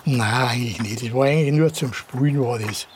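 A man in his eighties with a Bavarian accent, reminiscing casually in halting, plain-spoken, repetitive phrases. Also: mid-range voice, a little hiss or hum. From rural Bavaria, Germany. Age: 80s. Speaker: interviewee, local villager.

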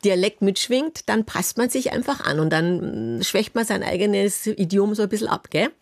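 A woman's voice: mid-range voice, expressive, moderate pace, no echo, studio quality. A woman hosting a radio phone-in, prompting callers with encouraging murmurs. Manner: warm, encouraging, frequent mhm. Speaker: radio host.

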